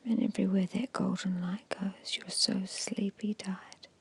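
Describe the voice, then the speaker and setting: A woman guiding an ASMR meditation in a hushed, soothing, breathy whisper with short, measured phrases. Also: mid-range voice, level tone. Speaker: hypnosis and meditation guide.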